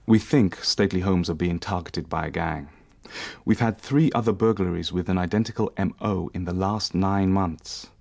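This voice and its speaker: An actor playing an assured, businesslike professional man in a radio drama, speaking in a measured, matter-of-fact way. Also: low voice, gravelly, a little mellow. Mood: polite, assured.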